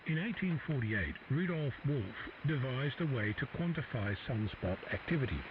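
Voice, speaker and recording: A man giving a scripted educational radio talk in a measured, explanatory way. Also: medium pitch, animated. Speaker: presenter of a radio segment. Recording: muffled shortwave broadcast with static.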